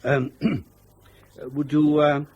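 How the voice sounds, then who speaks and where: A man's voice: on the high side, little pitch movement, drawn-out words, a little mellow, muffled recording. An actor playing a politely evasive British schoolmaster in a radio drama, formal, hesitant and roundabout in his speech. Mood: awkward, tactful.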